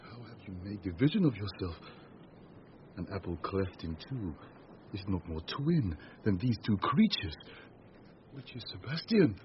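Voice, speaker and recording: An astonished, loyal friend in Shakespearean radio drama. His voice is deep with wide movement in pitch. The recording is muffled, with faint noise. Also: very animated, a little slow. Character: astonished, devoted male friend. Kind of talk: classical Shakespearean radio acting.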